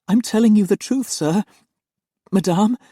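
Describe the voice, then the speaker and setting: A man's voice: high-pitched, a little slow, mellow, breathy edge, no room sound, studio quality, pitched above the performer's own voice. A man voicing a tearful young nanny. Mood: pleading. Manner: tearful, earnest pleading. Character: young woman nanny, frightened, pleading.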